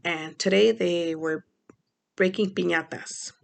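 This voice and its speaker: A woman's voice: fairly low pitch, steady tone, slow pace, creaky. A young woman from Mexico talking casually in a personal vlog, rambling with many fillers. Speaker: vlogger.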